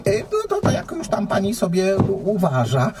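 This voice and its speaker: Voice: medium pitch, huge pitch swings, moderate pace. An actor playing a polite, frightened little boy who stammers and pleads in a children's musical radio play. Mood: nervous, bargaining.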